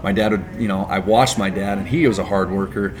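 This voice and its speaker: A young man from California, a business founder telling his story in a casual interview, rambling and relaxed with lots of "like" and "right?". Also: low-pitched, fairly quick.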